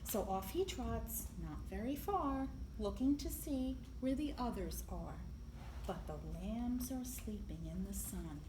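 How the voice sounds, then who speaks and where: A woman reading a picture book aloud to young children in an expressive, deliberate storytelling manner, with emphasis on the sound words. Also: fairly high pitch. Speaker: library storyteller. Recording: echoey room, clear background noise.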